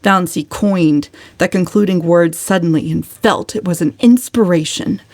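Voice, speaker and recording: A woman's voice: fairly low pitch, moderate pace, darkish tone, no room sound. A woman narrating an audiobook as a scripted dramatic reading.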